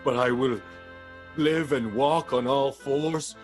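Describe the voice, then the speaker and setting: A slow, wary man weighs a strange offer as a male improviser plays him. He speaks hesitantly in radio soap style, over faint music. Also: mid-range voice, lightly acted. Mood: wary pondering. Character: hesitant man pondering a werewolf cure.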